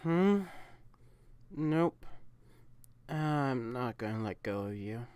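A woman's voice: a bass voice, expressive, very slow, a little mellow, smooth, no room sound, heavily acted. A young woman voicing an affectionate, teasing, protective catboy boyfriend in an intimate, coaxing ASMR roleplay. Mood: clingy, teasing. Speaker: audio roleplay performer.

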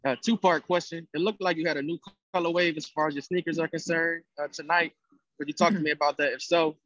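A male reporter asking a two-part question over a video call, polite and slightly halting. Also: a little high, fairly even intonation, fairly bright tone, no echo. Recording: dull video-call audio.

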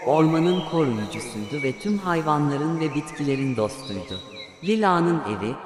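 A man tells a children's fairy tale as a scripted narration in a mid-pitched voice. The recording is very echoey with a long reverb tail, and there are crowd-like sounds behind the storyteller.

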